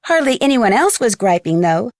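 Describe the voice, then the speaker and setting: A woman's voice: fairly high pitch, sing-song, normal speed, dry recording, clean studio sound. A woman with an American accent playing a witty teenage girl detective, telling how a case ended in wry, conversational game narration. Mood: wry, amused. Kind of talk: scripted game epilogue narration.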